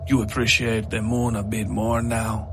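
A man voicing a creepy, catlike man in a horror audio story, hushed and chiding. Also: on the low side, flat delivery, normal speed, background noise, lightly acted. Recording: music behind the voice.

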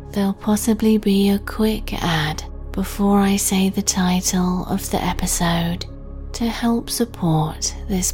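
Female sleep meditation host; breathy half-whisper, very unhurried, soft music bed. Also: mid-range voice. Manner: slow, soothing, gentle delivery.

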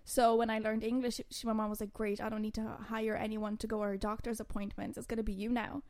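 Animated young Lithuanian-born woman. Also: a little high, fast talker. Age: 20s. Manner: chatty, animated storytelling with quoted voices. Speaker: podcast guest. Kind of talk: casual chat.

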